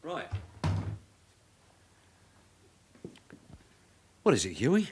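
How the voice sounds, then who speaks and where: An actor playing a shrewd veteran detective sergeant in a British radio drama, a husky voice and terse, probing delivery. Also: male voice, on the high side, wildly swooping pitch, a little fast, darkish tone, soft background music, exaggerated voice. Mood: pressing. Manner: terse, probing questions and clipped orders.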